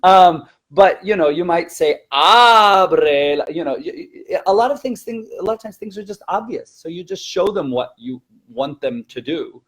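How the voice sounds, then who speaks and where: A man's voice: sits high, lively intonation, normal speed, hardly any pauses, a bit bright. Conversational man presenting a teaching webinar.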